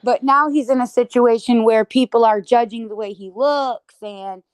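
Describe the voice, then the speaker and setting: Woman, high-ish voice, emphatic casual livestream chatter, close dry sound. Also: normal speed, clear, resonant tone, dry recording. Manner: emphatic, conversational, peppered with "you know" and "girl".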